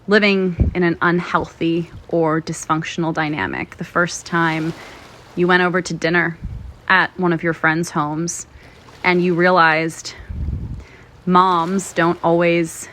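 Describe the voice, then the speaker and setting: A woman narrating a guided meditation in a gentle, soothing, measured way, pausing often between phrases. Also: fairly low pitch, steady tone, slight background noise. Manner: gentle, soothing, measured delivery with frequent pauses.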